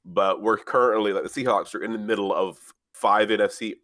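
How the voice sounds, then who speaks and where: A man's voice: on the low side, brisk, a bit bright, no echo, clean studio sound. A young man hosting a casual sports podcast, a bit congested from a cold, rambling with many fillers and swearing. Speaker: podcast host.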